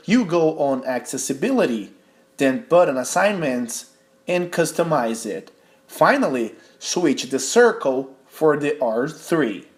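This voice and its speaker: A young man from Brazil with a Brazilian accent, a non-native English speaker explaining gameplay tips step by step in a tutorial voice-over. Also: fairly high pitch. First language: Portuguese. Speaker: gaming tutorial host.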